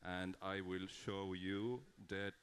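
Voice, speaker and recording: Brief: man, conference speaker, very low voice, earnest and explanatory. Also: little pitch movement, slow, clear, resonant tone. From Estonia. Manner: earnest, explanatory, with frequent fillers and restarts. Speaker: conference speaker, game creative director. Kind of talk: conference talk.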